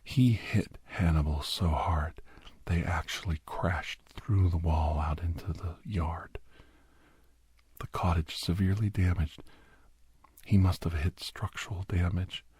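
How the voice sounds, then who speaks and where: A man playing a grim doctor recounting a bloody werewolf rescue in a hushed, breathy, halting ASMR storytelling style. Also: low voice, fairly even intonation, no echo, full character voice. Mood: intense, hushed. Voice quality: breathy, husky near-whisper. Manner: hushed, halting, dramatic delivery. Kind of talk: whispered ASMR horror storytelling.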